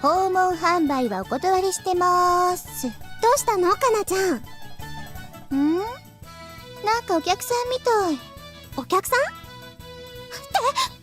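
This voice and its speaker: An excitable young anime girl from a dating game, speaks in an extremely high voice. Her pitch swings in an animated way, and prominent game music plays underneath. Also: moderate pace, pauses often. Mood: curious, puzzled. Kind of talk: Japanese visual novel voice acting. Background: bright game music.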